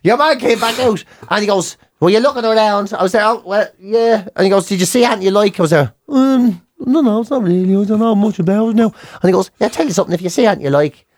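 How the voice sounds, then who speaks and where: A man's voice: sits high, fast, a little mellow. A middle-aged Irish man chatting casually as a podcast host, telling comic anecdotes in a mischievous, self-deprecating way.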